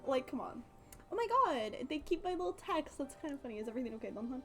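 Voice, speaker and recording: A woman's voice: sits high, sing-song, quick pace, fairly bright tone. A woman live streaming a video game, giving casual, playful, slangy commentary. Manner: playful, slangy, reading game text aloud.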